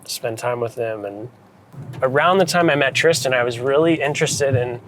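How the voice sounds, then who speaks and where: A man's voice: mid-range voice, moderate pace, a bit bright, a little hiss or hum. An American man from New England chatting casually and thoughtfully as an interviewed photographer, speaking informally. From United States, New England. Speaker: photographer and director being interviewed. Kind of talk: casual reflective chat.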